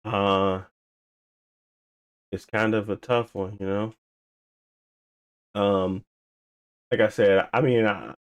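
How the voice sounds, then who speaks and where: A man's voice: low-pitched, dry recording. A man co-hosting a podcast, talking casually and informally about video games, rambling as he thinks aloud. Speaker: podcast co-host. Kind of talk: casual video game discussion.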